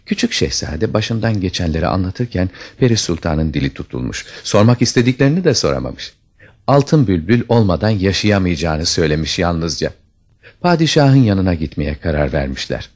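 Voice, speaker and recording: A man's voice: low voice, very animated, moderate pace. A man narrating a scripted fairy tale as a storyteller, with dramatic expression.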